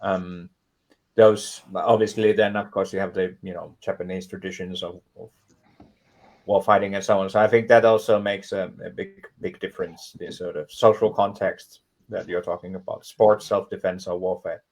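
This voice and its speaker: A man speaking as a guest author in a casual discussion, measured and explanatory with many fillers. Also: sits low, level tone, darkish tone, poor audio.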